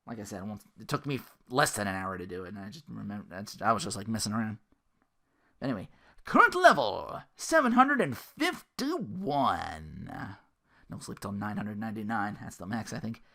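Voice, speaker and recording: A man's voice: on the low side, moderate pace, creaky. A man hosting a podcast, giving casual, rambling gaming commentary with little acted-out asides. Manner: rambling, animated asides, acting out little quotes. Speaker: podcast host.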